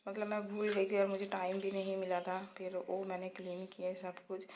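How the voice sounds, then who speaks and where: A woman with a slightly hoarse throat, narrating her daily routine in a casual, chatty vlog style with English words mixed in. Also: medium pitch, background noise. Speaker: vlogger.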